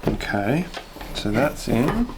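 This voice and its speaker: Guy with the flu doing his own boat wiring, kinda sniffly, talks slow, gravelly voice. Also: mid-range voice, sing-song. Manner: thinks aloud hesitantly while working with his hands. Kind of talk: casual vlog narration while working. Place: inside a small sailboat cabin. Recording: noisy background.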